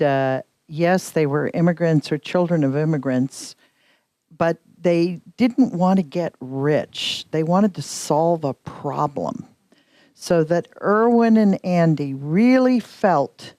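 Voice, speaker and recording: A woman's voice: deep voice, darkish tone, no echo, studio quality. An older woman from the United States, a professor speaking on a conference panel, thoughtful and searching for words. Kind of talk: panel discussion. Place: conference hall.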